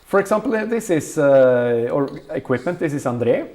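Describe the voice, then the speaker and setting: A man's voice: fairly high pitch, very animated, moderate pace, warm tone. A man with a Swedish accent, a native Swedish speaker, walking through tech equipment in a casual tour with many ums and uhs. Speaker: event organizer showing equipment.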